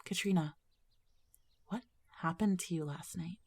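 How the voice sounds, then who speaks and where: A woman's voice: lowish voice, measured pace, vocal fry, slightly rough, exaggerated voice. Dry, sceptical teenage schoolgirl. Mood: sceptical, wary. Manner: dry, dismissive. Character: sceptical, cautious teenage schoolgirl, reluctant confidante. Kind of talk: audio drama dialogue.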